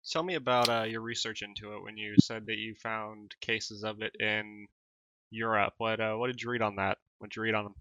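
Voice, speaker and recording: A male co-host with a lowish but bright, sharp voice, asking curious follow-up questions. The audio is dry and lo-fi. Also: level tone, a little fast, no echo. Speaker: podcast co-host. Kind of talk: casual podcast interview.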